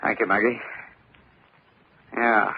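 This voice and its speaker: Shrewd radio investigator, muffled. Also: male voice, lowish voice, level tone, slow pace, creaky, breathy edge, dry recording, heavily acted. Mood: pondering. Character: shrewd, informal insurance investigator. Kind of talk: old-time radio drama acting. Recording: muffled old radio, faint noise.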